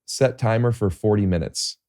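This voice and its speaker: A man with a lowish voice hosts a casual podcast chat. He often stops himself, restarts sentences and fills gaps with "uh" and "um." The sound is close and dry, with no room around it. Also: a little mellow, no echo. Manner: halting, many restarts and fillers. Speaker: podcast host. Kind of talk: casual conversation.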